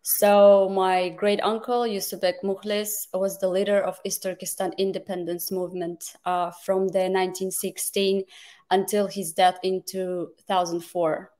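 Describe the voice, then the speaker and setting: Woman guest from Kazakhstan, halting speech full of restarts, mid pitch. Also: flat delivery, a little mellow. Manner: halting, many fillers and restarts. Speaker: guest advocate. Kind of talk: interview answers.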